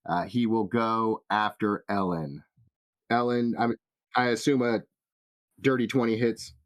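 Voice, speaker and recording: Slow, low-voiced man as game master. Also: steady tone. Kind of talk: narrating a tabletop fantasy game.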